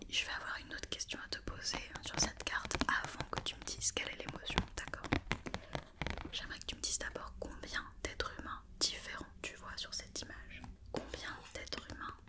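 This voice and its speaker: Whispering ASMR woman. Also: normal speed. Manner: soft, repetitive, reassuring instructions. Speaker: ASMR roleplayer playing an occupational doctor.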